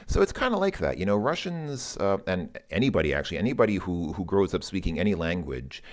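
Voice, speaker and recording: A man's voice: sits low, very animated, quick pace. A man who speaks English natively, talking through his thoughts in a loose, musing monologue and often repeating words while he thinks. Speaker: language learner vlogger. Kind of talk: casual spoken monologue.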